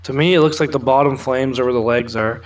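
A man's voice: mid-range voice, fast. A young man co-hosting a casual pop culture chat from a room at home, explaining things informally and swearing freely. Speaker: co-host of a pop culture news show. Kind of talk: casual chat, explaining film trailers and comics.

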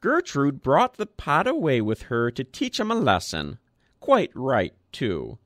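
A man's voice: mid-range voice, huge pitch swings, unhurried, no echo, clean studio sound, pitched above the performer's own voice. A man voicing a frail old man with a thin, piping, quavering voice who rambles on chattily. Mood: indignant.

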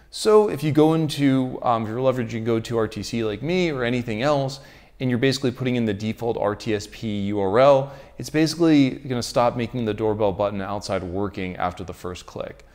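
Man, thirties, mid-range pitch, explanatory tech host, lapel microphone indoors. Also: a little mellow. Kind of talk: explanatory tech presentation to camera. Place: quiet living room, indoors.

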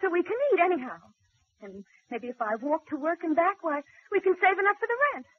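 A pleading devoted wife. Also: female voice, very high voice, big pitch swings, a little fast, no echo. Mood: coaxing. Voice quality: slangy working-class speech, coaxing and scolding. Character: pleading devoted young working-class wife. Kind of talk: 1940s radio acting. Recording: dull old radio recording.

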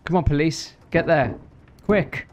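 A man's voice: on the high side, a little slow. British man doing game commentary, background noise. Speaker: gaming video host. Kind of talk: casual let's play commentary, reading dispatcher lines. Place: small indoor room.

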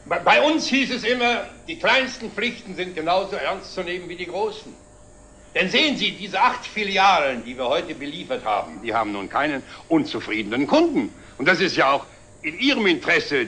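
An actor playing a genial, self-assured middle-aged company director in a 1960s German TV drama, speaking in measured, formal phrases. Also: male voice, fairly high pitch, sharp tone, a little hiss or hum. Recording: old 1960s TV soundtrack, music behind.

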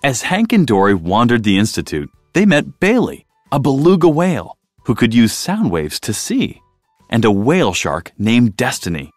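A man reading a children's storybook aloud as narrator, in an expressive style, close to the mic. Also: mid-range voice, normal speed, no room sound, faint music behind.

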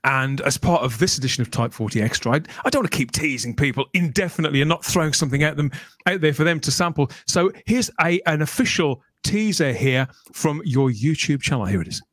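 A man's voice: fairly high pitch, lively intonation, fast talker, a bit bright, dry recording, clean studio sound. A man hosting a casual livestream, wordy and emphatic in the way he talks. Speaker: podcast host.

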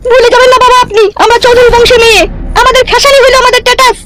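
A woman's voice: very high voice, little pitch movement, rapid-fire. A woman voicing a haughty, defiant young daughter-in-law in a comedy cartoon, snappish and rude. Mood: defiant, scornful. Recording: loud noise behind.

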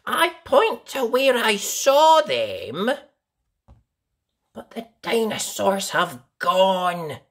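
Female children's story reader, slow and theatrical, with hugely varied intonation. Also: medium pitch, sing-song. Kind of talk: expressive children's read-aloud.

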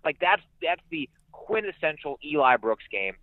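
A man's voice: a little high, sing-song, normal speed, no room sound. A man giving conversational sports analysis as a radio announcer guest, emphatic and repeating phrases for emphasis. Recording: muffled, band-limited phone-like radio sound.

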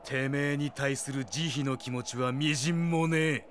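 An actor playing a tough, cool, vengeful young fighter in a Japanese audio drama, terse, taunting and quietly menacing. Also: male voice, medium pitch, steady tone, normal speed, very noisy, close to an everyday voice. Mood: cold, menacing.

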